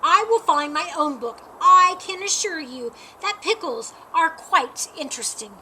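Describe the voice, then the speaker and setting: A woman's voice: extremely high pitch, animated, a little slow, slight background noise, heavily acted, poor audio. Woman acting a huffy, pompous storybook bear. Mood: loud, indignant. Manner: huffy, fussy, formal wording, often loud. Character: grumpy, picky, pompous male bear.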